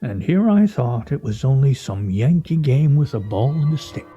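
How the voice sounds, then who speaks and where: A man acting as a wry, theatrical pedant in a radio play. The voice is very dark and lively in pitch, with a droll, mocking manner, slightly dull in sound over faint music. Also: moderate pace, lightly acted. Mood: sceptical.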